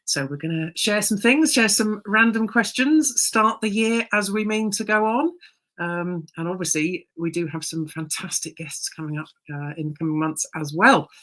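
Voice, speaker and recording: A woman's voice: mid-range voice, normal speed, a bit bright. A woman co-hosting a casual chat podcast as a coach, talking in a friendly way with uhs and restarts.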